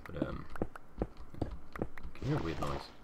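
A man's voice: extremely low pitch, very animated, a little slow, creaky. A young man hosting a casual game let's-play, rambling and chatty through a headset, a little nasal and sniffly as he gets over a cold. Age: young adult. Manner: rambling, chatty, mumbles asides. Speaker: gaming channel host. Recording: noisy home mic with keyboard clicks.

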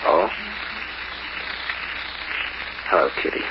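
Guy doing tough young noir schemer, old radio drama, quick talker, hissy muffled sound. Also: lightly acted. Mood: sly, cool. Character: scheming, cool, hard-boiled young male lover and crook. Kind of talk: 1940s radio noir acting.

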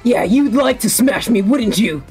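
A woman's voice: a little high, animated, a little slow, darkish tone, faint music behind. A woman voicing a brash, foul-mouthed, hot-tempered young male anime hero in a joke fan dub, barking scornful orders. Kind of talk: improvised joke fan dub while gaming.

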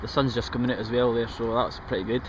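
A man's voice: medium pitch, fairly even intonation, fast talker. A middle-aged Scottish man vlogging casually as he cycles along canal paths and roadsides, chatting and pointing things out as he goes. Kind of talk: casual commentary while cycling. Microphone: action camera held or mounted on the bike. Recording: outdoor camera audio with traffic and wind.

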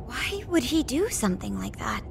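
A woman playing a spirited, earnest teenage heroine in an anime game, with an expressive manner. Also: on the high side, normal speed, gravelly, a little hiss or hum, full character voice.

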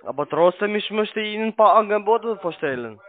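On a thin, tinny phone connection, a man with a fairly high voice presses on with a sales call. He is a non-native speaker, halting and pushy, with broken grammar. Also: lively intonation. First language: not German. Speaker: cold-calling telemarketer. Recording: narrow tinny phone line.

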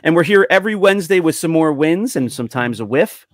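A man's voice: on the high side, lively intonation, quick pace, no echo, clean studio sound. A man hosting a podcast in casual group chat. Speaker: podcast host.

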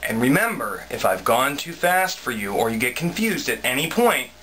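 A man's voice: medium pitch, very animated, normal speed, bright, forceful tone, a little hiss or hum, rough recording. A man in his thirties giving a casual, self-mocking cooking demonstration in a small home kitchen, talking into a close camera mic. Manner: chatty, self-mocking, playful asides. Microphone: handheld camera mic, close.

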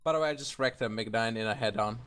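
A man chatting casually with a teammate while gaming, swearing freely in a halting way. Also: on the low side, fairly bright tone, a little hiss or hum. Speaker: video game player.

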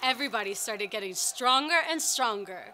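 Actress as a fervent, high-voiced woman striker. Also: animated, brisk, pushed and bright, a little hiss or hum. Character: fervent woman strike organizer, sister and fellow activist. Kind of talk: dramatized oral history monologue.

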